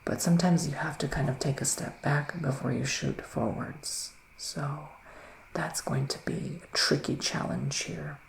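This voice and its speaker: A man speaking in a hushed, breathy ASMR style that often slips into a whisper, soothing and reassuring as he plays a psychic witch giving a reading. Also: on the high side, steady tone, measured pace. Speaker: ASMR performer playing a psychic reader. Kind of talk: ASMR roleplay, improvised tarot reading. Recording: roomy echo, faint background noise.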